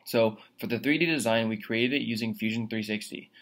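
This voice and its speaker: A man's voice: fairly low pitch, brisk, a bit bright. A young man giving a scripted slide presentation as a student presenter. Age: young adult.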